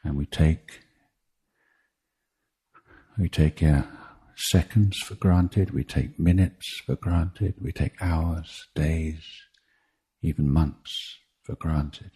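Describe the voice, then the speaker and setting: A man teaching meditation in a guided talk, speaking in measured short phrases with deliberate pauses and a husky voice. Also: rumbling low, steady tone, mellow. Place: meditation retreat.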